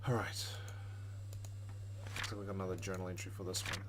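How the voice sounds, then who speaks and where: A man's voice: deep voice, fairly even intonation, fairly quick, background noise. A man reading a visual novel aloud as a let's player, slipping between narration and casual commentary.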